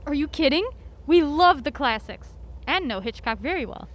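A spirited young traveler for an audio drama. Her voice is high and lively, swinging between sardonic and excitable. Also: moderate pace. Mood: friendly, eager.

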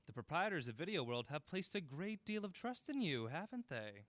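A playful, needling man in an audio drama. His voice is fairly high and very animated, an upbeat film buff full of banter. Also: moderate pace, no echo. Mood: teasing. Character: teasing, upbeat male film buff, sound-business friend. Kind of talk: naturalistic audio drama dialogue.

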